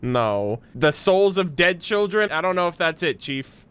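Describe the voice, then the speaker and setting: Lively male streamer reacting with slangy, animated commentary. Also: a little high, unhurried, a little hiss or hum. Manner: laid-back, slangy, talks over the game.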